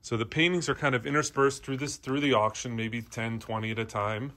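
A man with an average-pitched voice presents an informal walkthrough. He speaks off the cuff in short, halting phrases, pointing things out as he goes. It is recorded indoors on a handheld device. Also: steady tone, fairly bright tone. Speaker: auction house presenter. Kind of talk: unscripted walkthrough narration, pointing out items. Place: indoor antiques showroom, walking around.

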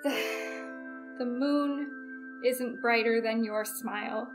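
A woman's voice: on the high side, steady tone, slow pace. A woman in her thirties playing a lovestruck, nervous, earnest young woman in an improvised soap opera, hesitant and gushing. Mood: tender, nervous. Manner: gushing, hesitant, self-deprecating. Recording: loud piano music behind.

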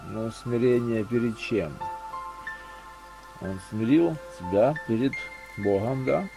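A man's voice: mid-range voice, slow pace, gravelly, over music, rough recording. A man in a casual voice chat about faith, rambling and searching for words as he talks. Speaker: chat participant. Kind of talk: casual religious discussion. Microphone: walkie-talkie voice chat app.

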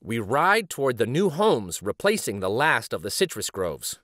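A middle-aged man reads a picture book aloud as host, in an expressive, dramatic manner. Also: medium pitch, normal speed, dry recording. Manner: animated, dramatic. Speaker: children's storybook reader and show host.

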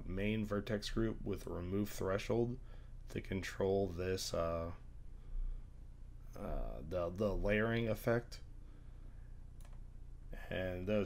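A young man with a strained, congested voice after being sick, talking through a screen-recorded software tutorial at his desk, pausing often with "uh". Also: low-pitched. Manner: hesitant, many "uh" fillers. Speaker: tutorial creator.

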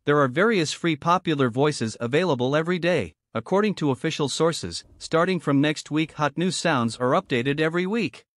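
A man reading scripted narration for a promotional software tutorial. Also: on the high side, animated, normal speed, few pauses, no room sound.

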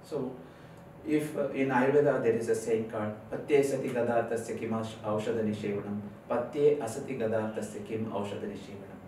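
A man's voice: medium pitch, fairly even intonation, brisk, dark tone. A middle-aged man from India, an Ayurveda physician, explaining sinus care to camera with many hesitant fillers. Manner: earnest explaining with frequent uh fillers, gesturing with hands. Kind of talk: health talk to camera. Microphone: lapel mic. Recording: very echoey room, distant mic, faint noise.

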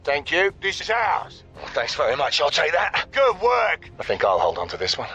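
Casting: blunt, sardonic English tough guy for game combat barks; raspy, swinging widely in pitch. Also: wildly swooping pitch, moderate pace, pushed and bright, dull audio, heavily acted. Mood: calm, satisfied. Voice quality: gravelly, rough. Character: tough, bald, sardonic English gunman and adventurer. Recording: game audio over faint music.

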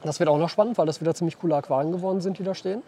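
Man in his thirties, high voice, quick talker, casual interview guest, outdoors. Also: warm tone. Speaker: interview guest, aquascaping creator. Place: outdoors beside an expo building.

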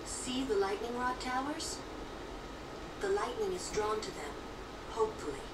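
A woman's voice: fairly high pitch, unhurried, lightly acted. Woman acting a cool, composed game sorceress. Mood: instructive. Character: cool, composed female mage in a fantasy game. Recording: distant, echoey game audio, loud noise.

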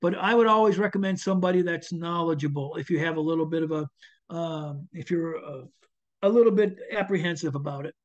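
A man's voice: on the high side, a little fast. An older man, a chef, answering audience questions on a video call, hesitant with many restarts and fillers. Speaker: chef and foraging instructor. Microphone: video call webcam. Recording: dull lo-fi video call audio.

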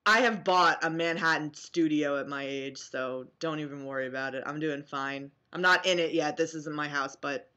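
A young American woman reacting casually to comments she reads aloud, sarcastic and animated, at home on a webcam mic. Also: deep voice, moderate pace, few pauses. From New Jersey, US. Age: young adult. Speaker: vlogger. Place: small room at home, indoors.

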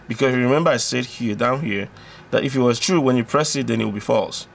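A man presenting an electronics tutorial, explaining step by step in an informal, halting way with repetitions and self-corrections. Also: mid-range voice, vocal fry, slight background noise.

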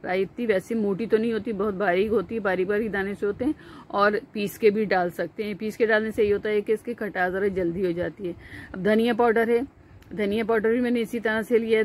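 A woman with a Pakistani Urdu accent talks through a recipe as she cooks, in a calm, instructive way. Her voice sits at a medium pitch, with frequent "uh" fillers and restarts. She is recorded close on a phone in a home kitchen, with faint background noise. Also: poor audio. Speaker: cooking channel host. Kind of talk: step-by-step cooking narration.

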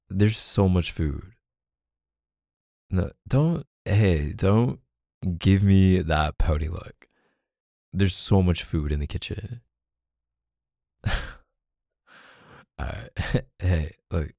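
A deep, mellow boyfriend acted by a man in an intimate roleplay audio, soothing a neglected partner. He speaks slowly and casually, hesitating and coaxing in an apologetic way. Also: gravelly, no room sound, studio quality, full character voice. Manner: casual, hesitant, sighing, coaxing with pet names. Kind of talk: boyfriend ASMR roleplay, casual intimate one-sided dialogue.

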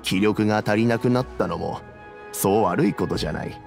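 A man playing a kindly, dignified old elder in a video game, with measured, old-fashioned speech. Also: on the low side, a little mellow, soft background music. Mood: weary, resigned. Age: older. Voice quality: aged, gravelly.

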